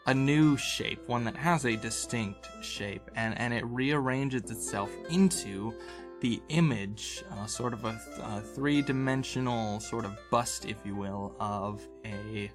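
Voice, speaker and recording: A man's voice: medium pitch, a little slow. A man acting as game master, improvising tabletop game narration and searching for words as he goes. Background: background fantasy game music.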